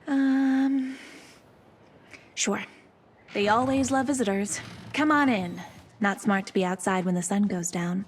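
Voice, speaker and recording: Actress as a slow, hesitant, flustered friendly woman. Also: mid-range voice, a little hiss or hum, close to an everyday voice. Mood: polite, evasive. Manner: hesitant, evasive, politely chirpy. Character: flustered, friendly care home staffer hiding something. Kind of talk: cinematic horror video game acting.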